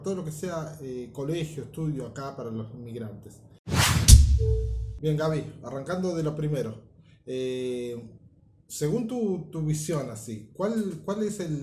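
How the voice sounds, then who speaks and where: A man's voice: medium pitch, mellow, a little hiss or hum. A middle-aged Argentine man hosting a casual chat, joking and asking leading questions. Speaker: host, parent. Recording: echoey room with faint ambience.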